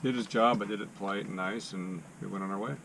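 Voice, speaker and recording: A man from the United States chatting casually in a travel vlog, relaxed and teasing, with light background noise. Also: on the low side, quick pace, vocal fry. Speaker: van life vlogger.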